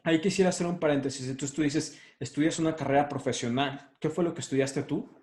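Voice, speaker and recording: A man's voice: a little high, fast, a little mellow. A man hosting an interview, asking several questions at a time. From Colombia. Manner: inquisitive, asks several questions at once. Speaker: interview host.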